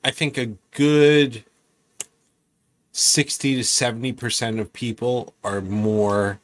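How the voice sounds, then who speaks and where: A man's voice: mid-range voice, fairly even intonation, takes it slowly, no echo. A man co-hosting a podcast in casual chat, mostly answering in short agreeing remarks and teasing his co-host.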